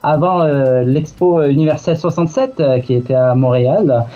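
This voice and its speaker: Hesitant Marseille-born man, mellow tone. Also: fairly high pitch, moderate pace, highs cut off. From Marseille, France. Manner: hesitant, many restarts, laughs often. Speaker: guest. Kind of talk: interview answers.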